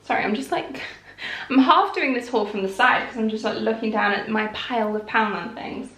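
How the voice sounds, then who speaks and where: Bright-voiced chatty woman in an echoey room. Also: fairly high pitch, normal speed. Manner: chatty and rambling, calm and relaxed. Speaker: vlogger. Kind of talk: casual haul chat.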